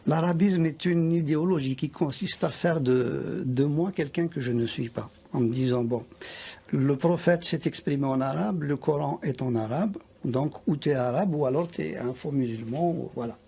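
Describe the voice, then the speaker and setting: A man's voice: fairly high pitch, normal speed, vocal fry, warm tone, husky. A man speaking as a guest in a televised panel debate, earnest and hesitant, with frequent restarts.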